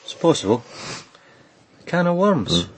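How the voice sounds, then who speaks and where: A man's voice: on the high side, very animated, a little slow, a little hiss or hum. A dogged Scottish detective, reporting to his boss in a dry, deferential way. It is naturalistic radio drama, with faint ambience behind the voice. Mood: sombre.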